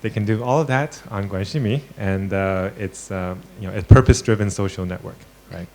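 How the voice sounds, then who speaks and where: A man's voice: on the low side, brisk, darkish tone. A man born in China and raised in the US, a tech founder speaking in a business interview, with frequent fillers and restarts. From born in China, grew up in the US. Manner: hesitant, many fillers and restarts.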